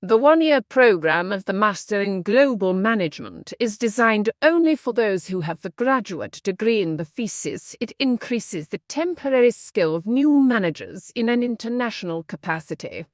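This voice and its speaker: A woman's voice: mid-range voice, animated, moderate pace, few pauses, no room sound, clean studio sound. A woman reading short practice passages aloud for exam students.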